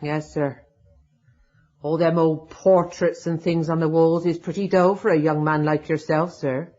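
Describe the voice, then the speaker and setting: A woman's voice: sits low, flat delivery, measured pace, a little mellow. Foreboding old rustic charwoman. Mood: deferential, doubtful. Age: older. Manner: deferential, hinting, rustic turns of speech. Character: gossipy, foreboding old English charwoman, deferential and superstitious. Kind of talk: radio drama acting. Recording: dull lo-fi radio drama recording.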